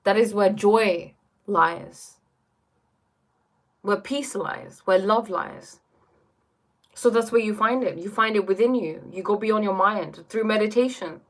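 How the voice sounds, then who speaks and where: Brief: young woman, mid-range voice, live Q&A host, halting and filler-heavy. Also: medium pitch. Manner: hesitant, lots of fillers and "like", reads comments aloud. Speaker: livestream host answering viewer questions. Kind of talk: casual livestream Q&A on spirituality.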